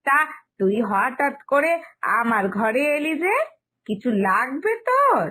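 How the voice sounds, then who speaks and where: Lazy, breezy aunt for animated family tale woman performing; high, very lively delivery, scoffing and talkative. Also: slow, heavily acted. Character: slovenly, lazy, breezy aunt-in-law who scoffs at cleanliness. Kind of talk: animated Bengali family story dialogue.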